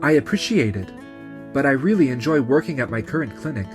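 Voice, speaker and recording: A man's voice: medium pitch, animated, fairly quick, over music, close to an everyday voice. A man voicing an earnest, polite young clinic nurse who also narrates a manga dub. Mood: modest, shy. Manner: polite, sincere. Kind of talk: scripted dub acting and narration.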